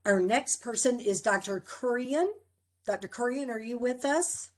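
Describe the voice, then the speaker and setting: A woman, the program coordinator, introducing faculty members one by one on a video call in a measured, formal way. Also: on the high side. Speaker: program coordinator hosting orientation.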